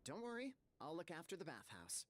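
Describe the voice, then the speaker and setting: A woman's voice: sits low, huge pitch swings, brisk, slight background noise. A woman voicing a weary, grumbling female bathhouse worker in a video game, with a dry delivery. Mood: resigned.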